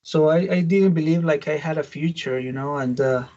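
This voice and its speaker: A middle-aged man from Mexico with a Mexican Spanish accent, a native Spanish speaker giving his testimony as a pastor in an interview, halting with many restarts. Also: fairly high pitch, darkish tone, highs cut off. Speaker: pastor, guest.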